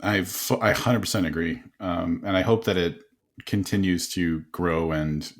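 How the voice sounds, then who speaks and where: A man hosting an interview podcast, telling quick stories in a casual, animated way with frequent laughs and restarts. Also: deep voice. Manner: animated storyteller, laughs often, many restarts. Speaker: podcast host.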